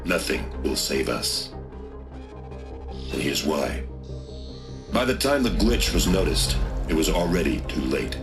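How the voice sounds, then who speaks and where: An actor playing a blunt, grave American general in a game, giving a formal briefing. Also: male voice, extremely low pitch, very animated, measured pace, long pauses, over music, heavily acted. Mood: grim, resigned.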